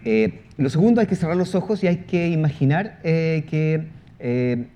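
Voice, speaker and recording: Man, panel guest, relaxed dark timbre, explanatory, halting delivery, muffled live-event sound. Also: on the high side, a little hiss or hum. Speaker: panel guest, audio fiction creator.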